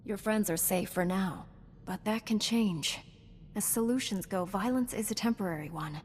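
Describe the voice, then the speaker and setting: An actress playing a calm, principled Jedi warrior in a video game, measured and serious. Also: female voice, medium pitch, long reverb tail, a little hiss or hum, natural voice. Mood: grave, admonishing. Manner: measured, serious, gently admonishing.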